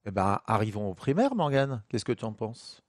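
A man's voice: on the low side, very animated, moderate pace, dry recording, studio quality. A man co-hosting a podcast, asking questions in a casual, teasing way. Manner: jokey, teasing. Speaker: podcast co-host. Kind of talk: casual interview chat.

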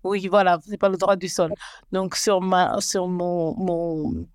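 A woman in her forties, a guest telling her life story slowly and hesitantly, often restarting as she searches for words. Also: fairly low pitch, fairly even intonation, smooth, no room sound, clean studio sound. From Belgium and Rwanda. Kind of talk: personal life story interview.

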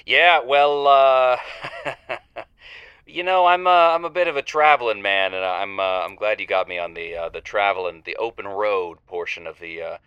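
A man's voice: on the low side, normal speed, fairly bright tone, smooth, no echo, muffled recording, lightly acted. A man playing a shifty, rambling drifter calling a radio advice show, hesitant and stammering in a casual, improvised way. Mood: sheepish. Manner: hesitant, stammering, sheepishly evasive. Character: shifty, rambling divorced male drifter caller. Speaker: call-in show caller. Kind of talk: semi-improvised audio drama, casual phone-in talk.

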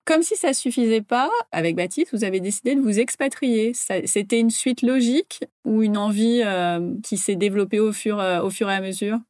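A woman's voice: mid-range voice, moderate pace, few pauses, darkish tone, no room sound, studio quality. A woman hosting a casual interview, asking friendly, short prompting questions. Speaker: podcast host. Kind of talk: interviewing a guest.

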